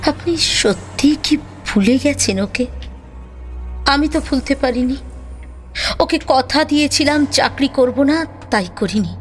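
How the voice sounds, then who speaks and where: A sorrowful, steadfast mistress, played by a woman in an audio drama. She speaks very slowly in a high voice, measured and earnest, with a pleading edge. Faint music runs underneath. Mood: quietly defiant. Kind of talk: Bengali audio drama acting.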